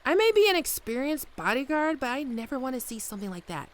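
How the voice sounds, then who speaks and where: A woman's voice: high-pitched, big pitch swings, quick pace. A woman playing an affectionate, wry bodyguard wife in an intimate, soothing ASMR roleplay. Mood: wry, uneasy. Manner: soothing, playful, storytelling. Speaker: voice actor in an audio roleplay. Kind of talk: ASMR roleplay, intimate one-sided dialogue.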